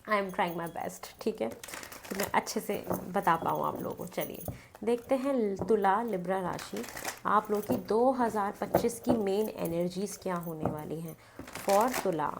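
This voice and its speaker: A woman's voice: medium pitch, a little slow, vocal fry, noisy background. Woman reading tarot with a cold. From North India. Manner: chatty, repeats phrases, chants between cards. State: has a cold, blocked nose. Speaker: tarot card reader. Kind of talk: casual tarot reading to camera.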